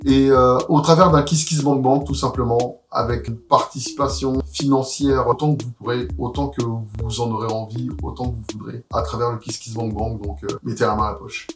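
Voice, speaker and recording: A man's voice: mid-range voice, steady tone, moderate pace, hardly any pauses, over music. Man in his forties, roomy sound. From Paris, France. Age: 40s. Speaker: interviewee, musician and graphic artist. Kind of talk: casual promotional interview answers.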